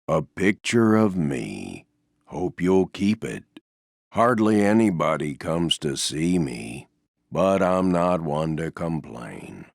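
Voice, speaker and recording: A man voicing a gloomy, resigned stuffed donkey in a video game, with a deep, gravelly, mournful drone and a gentle, self-effacing manner. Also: no echo, studio quality, full character voice. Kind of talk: video game character voice lines.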